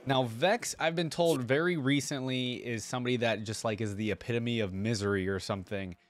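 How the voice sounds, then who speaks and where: A man's voice: mid-range voice, a little fast, dry recording. A young man with an ordinary mid-range voice. He chats casually as a reaction host, rambling through his thoughts in slangy, enthusiastic fan commentary.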